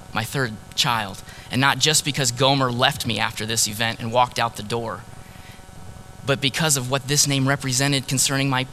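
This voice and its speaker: A man preaching in the first person as a grieving ancient prophet, earnest and sorrowful, dropping to a hush on key words. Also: mid-range voice, a little hiss or hum, lightly acted, rough recording. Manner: earnest, sorrowful storytelling, drops to hushed tones on key words. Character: grieving ancient male prophet, heartbroken husband. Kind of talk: dramatic first-person sermon monologue.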